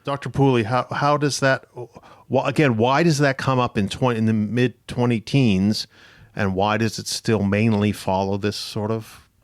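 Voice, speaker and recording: A man's voice: mid-range voice, steady tone, normal speed, dry recording, studio quality. A man hosting a history podcast, interviewing conversationally in a wordy, professorial but informal way, often interrupting himself.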